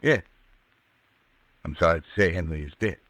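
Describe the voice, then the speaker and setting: An actor playing a firm, reassuring doctor with an air of authority, in old-time radio suspense style. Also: male voice, low voice, moderate pace, fairly bright tone, no room sound. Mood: grave, measured.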